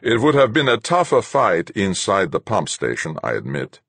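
A male narrator plays a hard-bitten spaceship captain in an audiobook, deep and husky. He is terse and commanding, with a cool, taunting edge and no sign of being rattled. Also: wildly swooping pitch, normal speed, fairly bright tone, no room sound, full character voice. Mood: cool, musing. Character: hard, unflappable sea-captain type commanding a spaceship crew.

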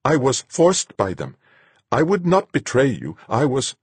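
A male narrator puts on a frightened crewman in an audiobook, pitched well above a deep natural voice. He sounds shaky and pleading, with a husky edge. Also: huge pitch swings, a little slow, no echo, heavily acted.

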